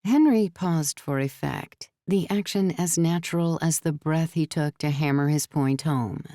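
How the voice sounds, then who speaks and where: A woman's voice: sits low, a little slow, warm tone, no room sound, studio quality. A woman reading scripted story narration as an audiobook narrator.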